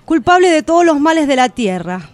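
A woman's voice: high voice, sing-song, brisk, soft background music. An actress playing an aching yet unbroken, defiant everywoman, reciting in solemn and emphatic poetic radio theatre. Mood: unbroken, proud.

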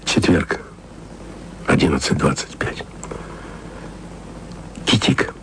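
An actor playing a wistful radio archivist who speaks softly and intimately to his beloved in a radio play. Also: lively intonation, takes it slowly, slight background noise, heavily acted. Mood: tender. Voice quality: low, gravelly voice. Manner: soft, intimate, sometimes whispered. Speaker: radio man speaking to his distant lover.

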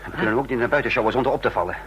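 An actor playing a stern intelligence chief who also narrates a radio drama, grave, deliberate and authoritative. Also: male voice, medium pitch, highs cut off, background noise, natural voice. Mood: urgent, commanding. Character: commanding intelligence chief, coldly furious, remorseful narrator. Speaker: narrator and lead character. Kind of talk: dramatic radio play acting.

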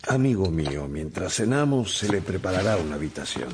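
Deep-voiced, kindly bishop. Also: male voice, big pitch swings, background noise. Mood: kindly, welcoming. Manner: courteous, gentle, unhurried. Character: kindly, hospitable, generous bishop. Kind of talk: Spanish-language radio drama acting.

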